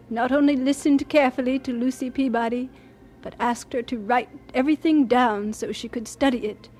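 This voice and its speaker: High-voiced earnest missionary woman played by an actress. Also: a little mellow, close to an everyday voice, rough recording. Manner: earnest, measured, with deliberate pauses. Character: Ida Scudder, earnest devout woman missionary doctor telling her story.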